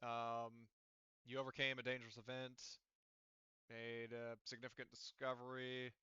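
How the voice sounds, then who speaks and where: A man acting as game master in an online tabletop session, talking haltingly as he thinks aloud through rules and dice tallies. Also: medium pitch, monotone, bright, forceful tone, smooth, no echo, highs cut off.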